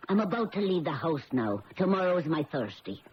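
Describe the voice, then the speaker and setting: A woman's voice: low voice, sing-song, brisk. A woman playing a prim, disapproving housekeeper in a 1970s radio drama, dry and reproving, on a muffled, noisy recording.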